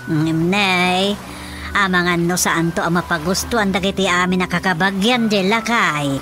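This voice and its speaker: A woman's voice: lowish voice, fairly even intonation, moderate pace, over music, lightly acted. A woman playing a chatty, fretful wife in an Ilocano radio comedy drama, with an Ilocano accent. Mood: concerned.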